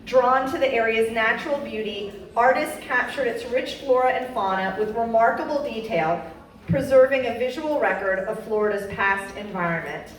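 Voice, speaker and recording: A woman hosting an event, reading a measured, formal introduction of the speaker. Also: on the high side. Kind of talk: read introduction. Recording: echoey room from a distant mic.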